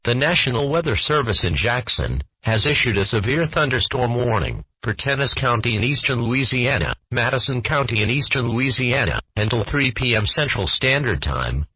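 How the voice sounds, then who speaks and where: A man's voice: medium pitch, pushed and bright, husky, dry recording, highs cut off. A synthetic male text-to-speech voice with an American accent, reading a scripted emergency weather bulletin in a formal, stilted, segmented way. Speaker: automated weather alert announcer.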